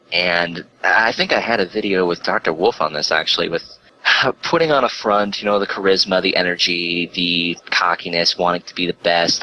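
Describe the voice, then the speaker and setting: Deep, bright-voiced American man. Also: a little hiss or hum, low-quality recording. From Chicago, United States. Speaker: online cartoon reviewer and podcast guest. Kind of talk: casual group podcast chat.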